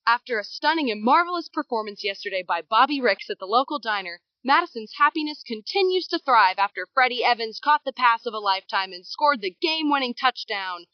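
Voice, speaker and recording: Woman acting cheerful local announcer, highish voice, salesy, radio drama, muffled, music behind. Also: expressive, a little fast, hardly any pauses, no echo, close to an everyday voice. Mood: cheery. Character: cheery small-town female radio announcer and pitchwoman. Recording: dull, muffled audio under music.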